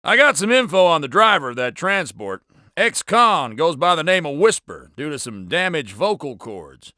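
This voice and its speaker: A man's voice: on the high side, sing-song, moderate pace, sharp tone, dry recording, clean studio sound. A man with an American accent playing a casual, wisecracking intelligence agent in a video game. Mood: breezy. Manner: casual, folksy, joking. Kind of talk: video game character dialogue.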